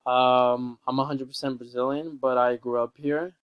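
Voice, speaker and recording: A young man introduces himself in a loose, rambling way, full of fillers and restarts. His voice is smooth and clear, with very little rise and fall in pitch. The recording is dry and close, with no room sound. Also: level tone, normal speed, no room sound, lo-fi sound. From San Francisco Peninsula, California. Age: young adult. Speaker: college student. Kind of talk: casual self-introduction video.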